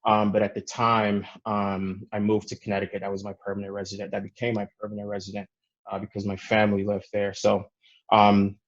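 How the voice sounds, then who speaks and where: A young man from Ghana, telling his story conversationally as an interview guest, in a halting way with many fillers. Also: on the low side, monotone, lo-fi sound. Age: young adult. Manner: halting, frequent "you know" fillers. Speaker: podcast guest, real estate investor.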